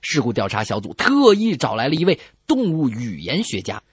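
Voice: mid-range voice, huge pitch swings, moderate pace, husky, clean studio sound. A comedy radio host telling scripted jokes as banter, with theatrical exclamations and questions. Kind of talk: scripted comic banter and joke storytelling. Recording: roomy echo with music behind.

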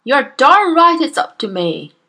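A woman voicing a huge, bullying female headmistress who barks and shouts with scorn. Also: a little high, sing-song, measured pace, heavily acted. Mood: bellowing scorn.

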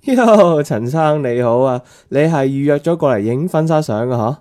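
A man's voice: on the high side, animated, normal speed, a little mellow, a little hiss or hum, close to an everyday voice. A man playing a chatty, money-minded wedding photographer in radio drama. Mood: chirpy, incredulous. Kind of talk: Cantonese radio drama acting.

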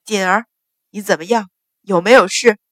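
A woman voicing a cold, dignified male official who speaks in a measured, lowered tone, in a dry recording. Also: fairly bright tone, dry recording, lightly acted. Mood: worried.

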